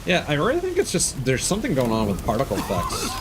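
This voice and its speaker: A man streams a video game with casual, off-the-cuff commentary. His mid-range voice swings widely in pitch as he grumbles and curses. A steady wash of rain-like noise sits clearly behind him. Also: fast talker. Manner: frequent swearing, exasperated grumbling. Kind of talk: live gameplay commentary, casual chat.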